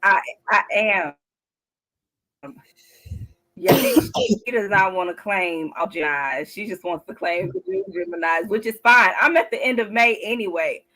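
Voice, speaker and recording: A woman co-hosting a casual sports talk show, chatty and quick to laugh. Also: medium pitch, expressive, sharp tone, poor audio. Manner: chatty, laughs often, drawn-out asides. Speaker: co-host.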